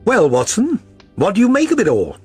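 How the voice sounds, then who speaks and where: Bloke reading as a brisk gentleman detective, precise n probing, slightly husky, really lively pitch. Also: faint music behind, full character voice. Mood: assured, decisive. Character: gentleman detective, brisk, incisive, self-assured. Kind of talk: audiobook character reading.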